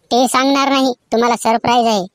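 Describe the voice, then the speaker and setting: A woman's voice: fairly high pitch, fairly even intonation, fast, no room sound, studio quality, natural voice. A woman playing a nagging, naive wife in scripted comedy cartoon skits. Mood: naive, chatty.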